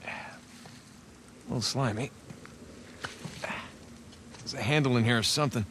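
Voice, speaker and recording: A man's voice: mid-range voice, measured pace, background noise, lightly acted. A man acting as a curious, wry treasure hunter in a video game, with casual, dry banter. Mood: cautious, wry. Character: wry, curious adult male treasure hunter, younger brother. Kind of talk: naturalistic cinematic game dialogue. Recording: cinematic music behind dialogue.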